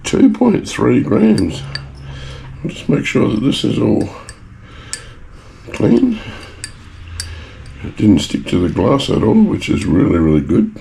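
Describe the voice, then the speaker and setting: A man with a hoarse, failing voice from a bad cough, casually talking viewers through his home refining work in a chatty, rambling way. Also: mid-range voice, huge pitch swings, slow, long pauses, warm tone, slight background noise. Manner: chatty, rambling, self-mocking asides. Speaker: hobbyist gold refiner and video maker. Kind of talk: casual talk-through of a lab process.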